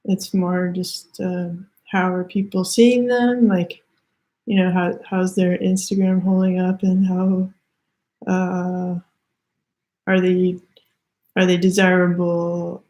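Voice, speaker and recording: Canadian woman, tarot reader, slow and level delivery, mellow soft tone, hesitant. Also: on the low side, rough recording. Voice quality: soft-spoken, hesitant, many fillers and restarts. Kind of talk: slow, halting spiritual card reading.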